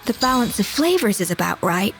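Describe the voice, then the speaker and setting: Composed precise pastry chef. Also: female voice, mid-range voice, big pitch swings, brisk, creaky, a little hiss or hum, rough recording. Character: calm meticulous female pastry chef. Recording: game dialogue over music.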